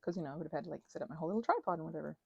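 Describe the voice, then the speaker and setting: Soft husky woman, rambling craft ASMR. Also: deep voice, lively intonation, rapid-fire, no room sound, muffled recording. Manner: hesitant, meandering, self-interrupting. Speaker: host of a craft ASMR channel. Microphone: close mic.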